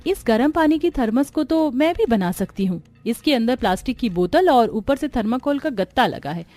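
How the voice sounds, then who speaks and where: A woman's voice: fairly high pitch, animated, normal speed, darkish tone, no echo, natural voice. A woman voicing a resourceful, practical young daughter-in-law in a cartoon story. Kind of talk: Hindi cartoon story acting.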